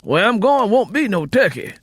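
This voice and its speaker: A man's voice: high-pitched, sing-song, normal speed, a bit bright, breathy edge, dry recording, voice pushed up, exaggerated voice. A man voicing a genial, wheedling travelling musician with folksy, polite speech in an audiobook story. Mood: wheedling, friendly. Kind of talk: audiobook character dialogue.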